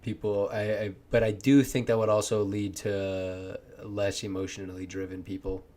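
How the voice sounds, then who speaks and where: A man's voice: lowish voice, level tone, normal speed, mellow. A young man in casual chat, rambling earnestly about grinding for his goals, swearing often and laughing easily. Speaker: content creator.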